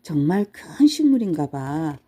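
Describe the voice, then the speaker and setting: A woman's voice: deep voice, very animated, unhurried, warm tone, breathy edge, exaggerated voice. A woman voicing a small, curious, polite boy in a children's storybook, speaking matter-of-factly.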